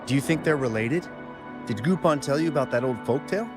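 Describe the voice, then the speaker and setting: Game role: talkative, jittery male miner played by a man, animated storyteller with swinging intonation, over music. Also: mid-range voice, brisk, lightly acted. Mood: spooked, intrigued. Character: chatty, spooked male miner recounting monster sightings. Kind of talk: video game voice acting.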